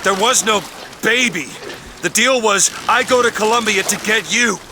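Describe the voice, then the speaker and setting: An actor playing a haunted, desperate private investigator in a dramatic video game, speaking tersely and emotionally. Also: male voice, high-pitched, moderate pace, very noisy, lightly acted. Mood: troubled. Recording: music behind the voice.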